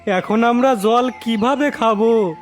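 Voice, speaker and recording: Troubled villager played by a man, deferential grumbling, high cartoon voice over music. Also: slow pace. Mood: worried, complaining. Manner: complaining, deferential. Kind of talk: cartoon fairy-tale voice acting.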